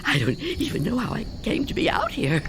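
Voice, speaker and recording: A woman's voice: fairly high pitch, wildly swooping pitch, fairly quick, noisy background. An actress in a radio drama playing a frail, fearful, reclusive old woman who speaks in a hesitant, tremulous, anxious way. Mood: nervous, guarded. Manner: hesitant, stammering, anxious muttering.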